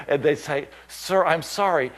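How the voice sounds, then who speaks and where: A man's voice: on the high side, a little hiss or hum. A man, a missionary pastor telling stories in a sermon and acting out the voices of the people he quotes. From United States. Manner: animated storyteller, acts out quoted voices, chuckles. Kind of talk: storytelling sermon.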